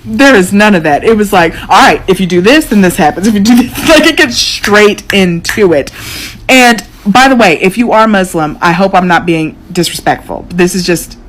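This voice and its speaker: A young American woman chatting casually to camera in an expressive, colloquial, slangy way. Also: mid-range voice, normal speed, a little hiss or hum, low-quality recording. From United States. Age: young adult. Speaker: social media video creator.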